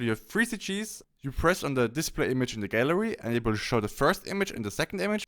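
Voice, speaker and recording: A man narrating a software tutorial, explaining things step by step. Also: medium pitch, clear, resonant tone. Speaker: tutorial host. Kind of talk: software tutorial narration over screen recording.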